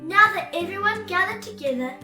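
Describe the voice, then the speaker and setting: Very high, bright woman reading a storybook. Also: lively intonation, recorded from a distance. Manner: theatrical, exclamatory, drawn-out emphasis. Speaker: storytime host and read-aloud narrator for children. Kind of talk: animated children's storybook read-aloud. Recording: roomy echo, off-mic, music bed.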